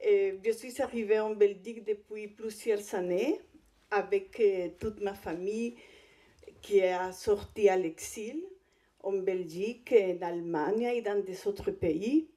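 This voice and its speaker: Female guest speaker, native Spanish with Chilean accent. Unhurried, soft-edged tone, hesitant phrasing, presenting at a conference. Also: medium pitch. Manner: hesitant, halting delivery with non-native phrasing. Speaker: guest speaker, visual artist.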